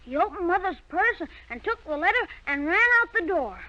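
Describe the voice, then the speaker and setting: A woman's voice: extremely high pitch, very animated, brisk, pushed and bright, full character voice. A woman acting the part of a sulky, stubborn young schoolboy in an old-time radio drama. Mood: evasive. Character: defiant, fibbing young schoolboy. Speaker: radio actor. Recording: dull, lo-fi vintage radio recording.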